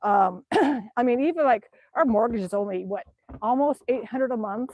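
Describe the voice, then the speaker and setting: A woman's voice: a little high, normal speed, dry recording, muffled recording. A middle-aged woman from Alaska, chatting casually on a livestream outdoors in the snow and reading out viewer comments. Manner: chatty, reads out chat messages and answers them. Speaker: livestream host.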